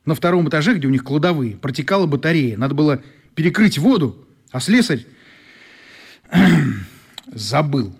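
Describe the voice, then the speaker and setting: A frank, sympathetic man in a radio drama. His voice sits slightly high for a man and has a husky grain. The delivery is highly animated as he explains things. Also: moderate pace, pauses often. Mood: regretful, explaining. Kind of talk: radio play acting.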